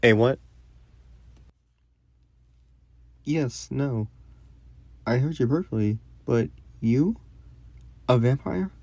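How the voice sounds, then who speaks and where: A man playing a polite, gentle young prince in a romantic fantasy audio roleplay, speaking formally and a little hesitantly. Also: mid-range voice, lively intonation, slow, vocal fry, dry recording, exaggerated voice. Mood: flustered, shy. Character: young prince, courteous, gentle, shyly curious royal.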